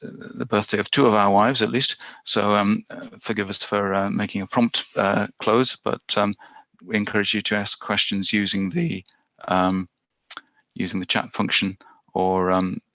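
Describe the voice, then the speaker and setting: A man hosting a webinar, introducing a speaker in a halting way with many uhs and ums. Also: sits low, creaky, sharp tone, dry recording. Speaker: webinar host. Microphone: video call. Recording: dull, dry video-call audio.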